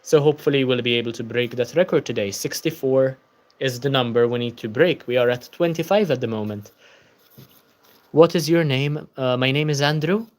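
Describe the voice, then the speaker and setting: A man's voice: mid-range voice, normal speed. A tired young man hosting a casual book-channel livestream, chatting and answering viewer comments.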